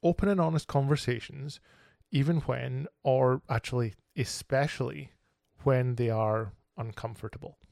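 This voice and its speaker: A man's voice: medium pitch, moderate pace, gravelly, darkish tone, dry recording, studio quality. A man hosting his own podcast, talking alone in an earnest, reflective and candid way. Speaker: podcast host. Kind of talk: solo podcast monologue.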